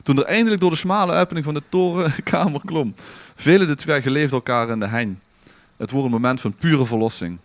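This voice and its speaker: A man's voice: fairly high pitch, a little fast, dry recording. A man with a Limburgish Dutch accent reading a scripted story aloud in a theatrical, literary manner as a podcast storyteller. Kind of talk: dramatic storytelling read from a script.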